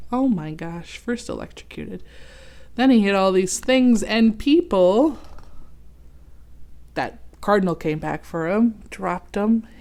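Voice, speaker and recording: A woman reading a picture book aloud to children, expressive and often turning to speak directly to the young listeners with questions and explanations. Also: a little high. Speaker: read-aloud host for children.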